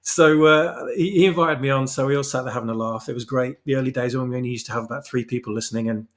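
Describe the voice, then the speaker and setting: A man's voice: mid-range voice, steady tone, fast. A man from the United Kingdom chatting casually as an interview guest, rambling and self-deprecating about his hobby writing. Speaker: guest, hobby fan-fiction writer.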